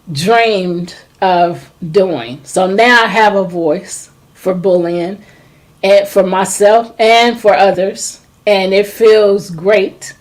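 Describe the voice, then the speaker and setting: Middle-aged woman, talk-show host and coach, very slow hesitant delivery. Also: on the low side. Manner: slow, hesitant, many ums. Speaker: trauma and forgiveness coach. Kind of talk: casual chat.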